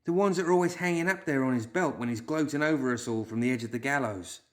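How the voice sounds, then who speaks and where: Man voicing a shrewd woman rebel, measured, knowing, slow. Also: medium pitch, voice pushed up, lightly acted.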